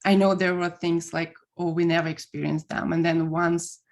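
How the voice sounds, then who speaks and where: A woman in her thirties from Russia, speaking English with a Russian accent as her second language, chatting as a podcast guest in a relaxed, hesitant way. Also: on the low side, moderate pace. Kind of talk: casual chat.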